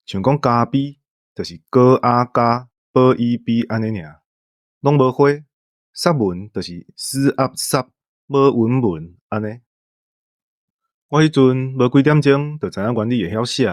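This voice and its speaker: A Taiwanese man chats as a co-host, explaining in an informal way. His pitch is average for a man and the sound is very dry. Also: dry recording. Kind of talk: casual explanatory podcast chat.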